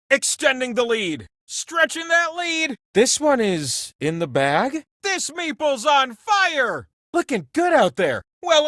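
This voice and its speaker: A man voicing an excitable, grandiose talking prize wheel that hosts a video game show in a playful, theatrical, mock-pompous manner. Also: extremely high pitch, dry recording, clean studio sound, exaggerated voice. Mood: upbeat, teasing. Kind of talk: animated video game announcer lines.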